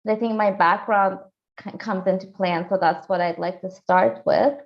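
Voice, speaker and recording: A woman in her forties, a lawyer from Iran, telling her story in an earnest, steady interview answer. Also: mid-range voice, moderate pace, vocal fry. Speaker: lawyer and candidate. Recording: dull lo-fi audio.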